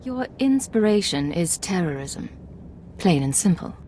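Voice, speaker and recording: An actress playing a cool, determined imperial secret agent in a video game, with a terse, confrontational manner. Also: female voice, lowish voice, big pitch swings, unhurried, mellow, slight background noise. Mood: cool resolve.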